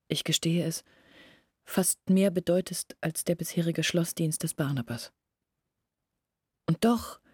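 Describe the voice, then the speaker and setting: An actress in a German radio drama playing an earnest, anguished young woman who confides her family's hardship in a deliberate, self-reproachful way. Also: fairly low pitch, warm tone, husky, no echo, clean studio sound.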